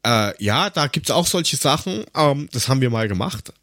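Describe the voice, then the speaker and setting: Male podcast host, middle-aged, animated pitch, wry and halting, casual banter, very dry recording. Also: no room sound. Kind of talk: casual humorous podcast chat.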